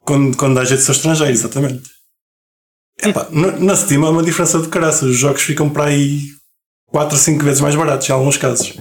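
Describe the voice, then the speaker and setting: A man with a European Portuguese accent co-hosting a podcast in casual chat, relaxed and talkative, often restarting words. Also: fairly high pitch, fast. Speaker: podcast co-host.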